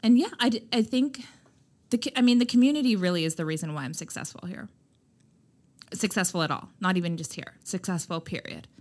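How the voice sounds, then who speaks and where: A loud, emphatic woman with a somewhat low-pitched voice. She talks candidly as a podcast guest about building her business and leans hard on filler words. Her delivery is forceful and direct. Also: a little fast. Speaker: skincare brand founder, podcast guest. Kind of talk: candid conversational interview.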